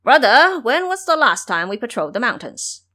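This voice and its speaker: A woman's voice: fairly high pitch, big pitch swings, moderate pace, sharp tone, voice pushed up, exaggerated voice. A woman voicing a commanding male monster king who explains and orders with authority, in an audio story.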